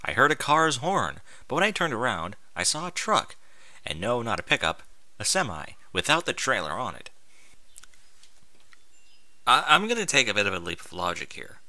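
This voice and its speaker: A man's voice: medium pitch, big pitch swings, normal speed, pauses often, sharp tone, dry recording. A man reading a story aloud with exasperated, sarcastic, sweary commentary. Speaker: host reading and mocking amateur fan fiction aloud.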